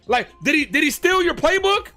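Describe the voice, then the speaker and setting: High, bright-voiced man ranting. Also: lively intonation, fairly quick, soft background music. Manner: profane, mocking, stammering for effect. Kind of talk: ranting sports commentary clip.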